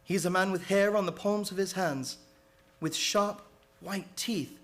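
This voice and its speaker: Male actor as anxious captive gentleman, high male voice, earnest, dramatic, formal, radio horror play. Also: unhurried. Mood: fearful, haunted. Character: anxious English gentleman, prisoner narrating his diary. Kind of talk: old-time radio horror play acting.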